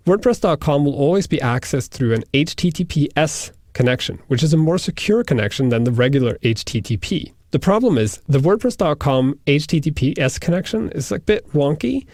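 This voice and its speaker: A man whose first language is Norwegian talks through software settings one by one at a steady middle pitch. His explanations are plain and methodical. The recording is close and dry, with no room sound. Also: dry recording. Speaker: software tutorial instructor. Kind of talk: screen-recorded software tutorial.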